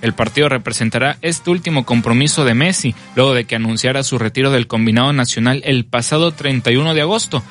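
A man reading a scripted sports news bulletin as the anchor, in a brisk, measured newsreader delivery with time checks. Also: medium pitch, fairly bright tone, faint music behind.

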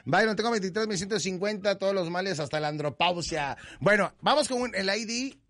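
A Mexican man hosting a radio show in a dry, close-miked studio, bantering and joking as he reads listener messages. His voice sits a little high for a man. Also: a little fast, dry recording. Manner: joking, teasing, lively banter. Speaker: radio host. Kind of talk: reading listener messages on air.

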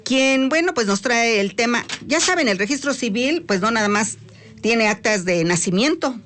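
A woman with a Mexican accent hosting a live talk radio show. She chats freely, stretches some words and fills pauses with "eh". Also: fairly high pitch, expressive, a bit bright. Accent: Mexican Spanish. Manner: chatty, drawn-out words, frequent "eh" fillers. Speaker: radio host.

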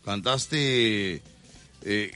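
Teasing Mexican radio host. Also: male voice, fairly low pitch, extremely slow, pushed and bright, faint music behind. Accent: Mexican Spanish. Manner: teasing and jokey, often laughing mid-sentence. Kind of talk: joking live radio banter. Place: radio studio.

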